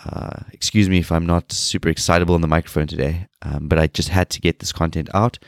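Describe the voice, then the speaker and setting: A man in his thirties hosting a solo podcast, sounding nasal from a cold and talking in a low-energy, rambling way. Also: steady tone, fast, no echo, clean studio sound. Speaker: podcast host.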